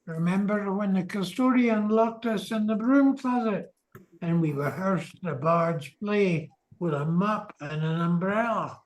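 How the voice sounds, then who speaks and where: Retired Scottish doctor, good-humored, unhurried delivery, amateur radio comedy; male actor. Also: high voice, low-quality recording. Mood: wry. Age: older.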